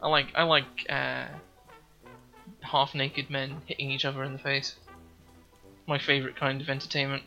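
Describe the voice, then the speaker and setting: A man's voice: medium pitch, monotone, moderate pace, creaky, long pauses, sharp tone, highs cut off, faint music behind. A young man from northeast England hosting a casual podcast chat, rambling with lots of ums and restarts. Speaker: podcast host.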